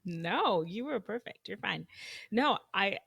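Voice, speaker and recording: A young adult woman from Zambia co-hosting a casual podcast over a video call, expressive and playful, with plenty of fillers. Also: mid-range voice, takes it slowly, gravelly, husky, no room sound, studio quality. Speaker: podcast co-host.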